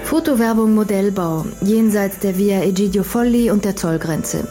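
An actress playing a composed young undercover decoy in a radio crime drama, reporting soberly and precisely. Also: female voice, mid-range voice, moderate pace, dark tone, soft background music, close to an everyday voice.

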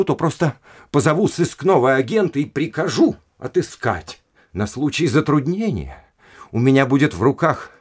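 An actor in an audio drama playing a haughty, indignant aristocratic official who speaks in an emphatic, scornful and domineering way. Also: male voice, mid-range voice. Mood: brisk, confident. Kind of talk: Russian audio drama acting.

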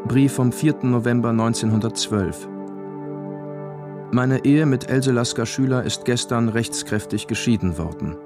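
A man reading scripted narration and credits for a radio drama in a sober, measured way. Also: medium pitch, music in the background. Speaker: announcer.

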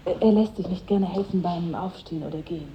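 Woman playing female secretary, officious, impatient, brisk, muffled vintage radio drama with clear background noise. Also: lowish voice, close to an everyday voice. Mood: harried, officious. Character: brisk, harried, impatient secretary of a company boss. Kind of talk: 1950s radio drama acting. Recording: muffled, noisy old radio recording.

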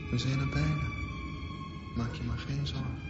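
A man's voice: mid-range voice, steady tone, normal speed, lightly acted. An actor playing an obsessed young man in a radio drama, speaking poetic, intimate, morbidly tender inner monologue. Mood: soothing, eerie. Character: obsessed dreamy young male swimmer luring girl to drown. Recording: dull, echoey radio play recording under music.

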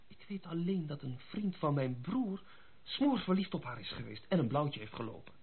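An actor playing a wry, cautioning gentleman friend in a Dutch radio play, speaking in measured, formal, old-fashioned phrases. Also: a little high, animated. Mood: reluctant, cautioning. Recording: muffled, phone-like old radio recording.

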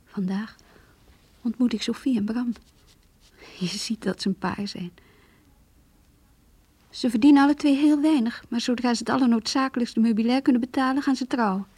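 An actress playing a heartbroken young woman who confides her lost love in an intimate, halting radio play monologue, breathy and hushed. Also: fairly high pitch, no echo. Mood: wistful, envious.

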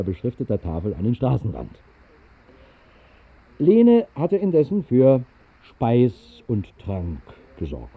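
A man narrating a scripted literary radio play in a measured, articulate way with deliberate emphasis. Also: medium pitch, husky. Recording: muffled, narrow-band 1960s radio recording.

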